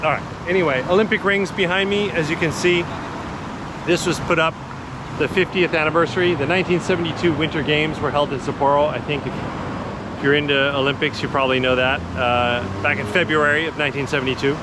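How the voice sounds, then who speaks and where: A man's voice: fairly high pitch, fairly even intonation, fairly quick. A man hosting a casual walking tour, chatting and explaining in an informal, laid-back way while recording outdoors on city streets. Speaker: vlog host and walking tour guide. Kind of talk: casual walk-and-talk narration. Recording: outdoor street noise, passing traffic. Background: city ambience and cars.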